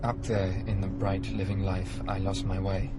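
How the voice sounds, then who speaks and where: Male actor as earnest wanderer in the underworld, deep, level delivery, radio play with loud music. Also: little pitch movement, moderate pace, close to an everyday voice. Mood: solemn. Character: earnest living pilgrim lost in hell. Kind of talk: audio drama acting.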